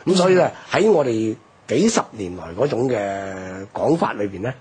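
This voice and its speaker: A man's voice: fairly low pitch, big pitch swings, normal speed, a little mellow, breathy edge. An older man with a Hong Kong accent, recounting history in an emphatic, explanatory way on a radio talk.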